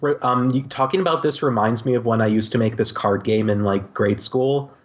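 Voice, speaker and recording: A man's voice: mid-range voice, a little fast. A man chatting casually as a co-host on a podcast.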